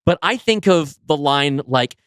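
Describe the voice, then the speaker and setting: A man's voice: fairly high pitch, expressive, measured pace, fairly bright tone, clean tone, no echo, clean studio sound. A man co-hosting a casual podcast, talking enthusiastically and swearing now and then. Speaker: podcast co-host and writer.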